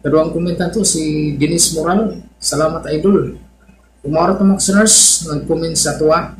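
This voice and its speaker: A man's voice: on the high side, fairly even intonation, moderate pace. Echoey-voiced Cebuano Filipino man, casual host. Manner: grateful, informal. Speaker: channel host of a Bisaya radio drama upload. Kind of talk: casual vlog intro reading listener comments. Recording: echoey room, background noise.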